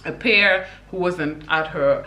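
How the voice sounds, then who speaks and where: A woman from Senegal speaks straight to her viewers, laying out her views in an emphatic, conversational way and often dropping in "you know". Her voice is bright and forceful. The room around her gives it an echoey sound. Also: fairly low pitch, takes it slowly. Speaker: vlogger. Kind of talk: opinion commentary vlog.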